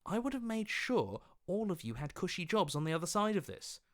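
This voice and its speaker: An actor playing a scheming, ingratiating opportunist inner voice in a video game, wheedling and put-upon in manner. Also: male voice, sits high, sing-song, brisk, fairly bright tone. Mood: peeved.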